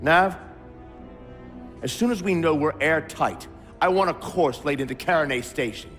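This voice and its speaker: A man's voice: fairly high pitch, normal speed, fairly bright tone, faint music behind, natural voice. A man playing a domineering starship captain. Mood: commanding. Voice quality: curt, commanding and bureaucratic. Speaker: ship captain. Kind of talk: video game cutscene acting. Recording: game audio with reverb and score.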